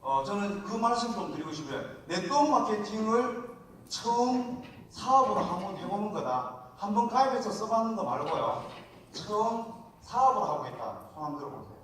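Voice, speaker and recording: A man with a regional Korean accent lectures at a seminar in a folksy, conversational way, checking in with the audience as he goes. His voice sits a little high. The recording comes from a large, very echoey hall, picked up from some distance with faint background hiss. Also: lively intonation, normal speed, fairly bright tone. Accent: Korean regional dialect. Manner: folksy, chatty, asks the audience for replies. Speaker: seminar speaker.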